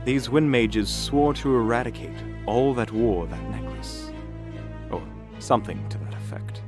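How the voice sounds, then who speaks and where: A man's voice: mid-range voice, expressive, a little slow, natural voice. Man acting a theatrical, swooping, sardonic mage. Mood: thoughtful. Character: smug, theatrical male mage, sardonic and condescending. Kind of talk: fantasy audio drama acting. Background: faint music.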